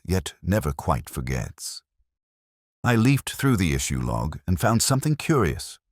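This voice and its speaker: Very deep, brooding detective played by a man. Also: dry recording, clean studio sound, full character voice. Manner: measured, literary, brooding. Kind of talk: scripted first-person mystery narration.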